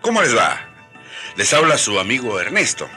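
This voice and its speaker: A man hosting a radio programme, speaking from a script and addressing listeners in an animated way. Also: medium pitch, normal speed. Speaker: radio host. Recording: music behind the voice. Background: music.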